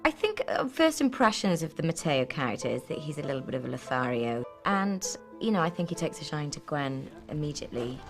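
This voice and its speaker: A woman actor in a documentary interview, speaking thoughtfully about her character with halting restarts. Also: low voice, very animated, soft background music.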